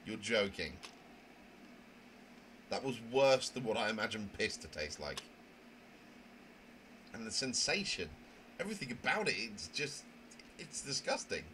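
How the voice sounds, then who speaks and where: A man acts out a prim young visual novel character who narrates in fussy, theatrical phrases. The voice sits fairly low and sounds indignant and proper. The recording carries noticeable noise. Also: sing-song, a little slow, long pauses, pushed and bright, full character voice. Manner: wordy, fussy, theatrical diction. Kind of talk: dramatic reading of a visual novel. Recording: noisy home microphone recording.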